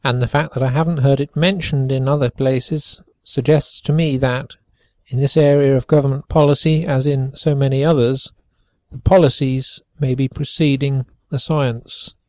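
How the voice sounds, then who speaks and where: A man reading a commentary aloud in a measured, pointed way. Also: medium pitch, steady tone, darkish tone, no echo. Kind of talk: read commentary.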